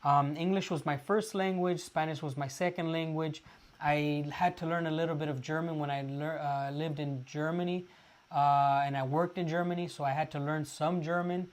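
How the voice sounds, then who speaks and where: A man from Los Angeles, chatting casually to viewers on a livestream and pausing often with fillers. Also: on the high side, steady tone. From Los Angeles, California. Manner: halting, restarts often with many "uh" fillers. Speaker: livestream host.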